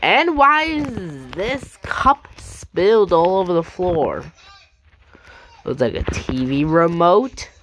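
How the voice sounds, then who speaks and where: A gaming channel host giving a casual video game tour, thinking aloud in an exclamatory, animated way. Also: mid-range voice, slow, a little hiss or hum.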